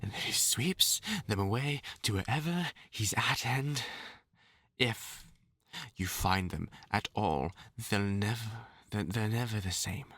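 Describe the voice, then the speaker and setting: A man's voice: on the low side, lively intonation, slow pace, fairly bright tone, no echo, studio quality, exaggerated voice. A man with a British accent playing a courteous magician in an audio drama, explaining things formally and apologetically with some hesitation. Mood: concerned, grave. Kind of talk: character audio drama monologue.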